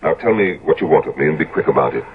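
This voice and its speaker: An actor playing a stern, reluctant gentleman friend in a 1970s radio drama, speaking in a formal, indignant manner. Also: rumbling low, lively intonation, very fast, husky, heavily acted. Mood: stern, cold. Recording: dull, lo-fi old radio recording.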